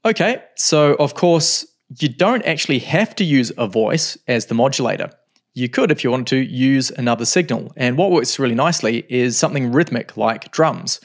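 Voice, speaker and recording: A man in his thirties teaching music software in a home studio, explaining calmly with frequent ums. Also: medium pitch, moderate pace. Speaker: music production tutor. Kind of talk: screen-recorded software tutorial.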